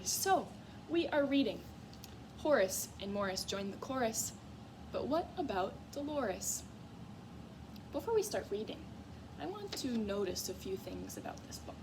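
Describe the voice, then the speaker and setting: A woman with a fairly high, very animated voice speaks as a teacher reading aloud to young children. She is encouraging and often stops to ask questions. The recording is from a classroom with clear background noise. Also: normal speed, long pauses. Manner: expressive, encouraging, asks questions of young listeners. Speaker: music teacher. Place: school classroom, in a reading corner.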